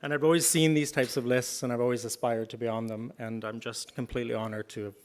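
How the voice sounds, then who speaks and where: Fast-talking Canadian man accepting an award. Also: mid-range voice, steady tone. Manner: hesitant, grateful acceptance speech. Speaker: award recipient. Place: large hotel function room.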